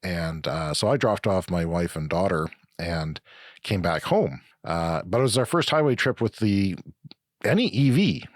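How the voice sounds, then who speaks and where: Man, raspy with flu, low voice, dry close mic, rambling casual talk. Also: lively intonation, measured pace, no room sound. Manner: rambling, self-correcting explanations. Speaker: podcast co-host. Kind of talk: casual chat.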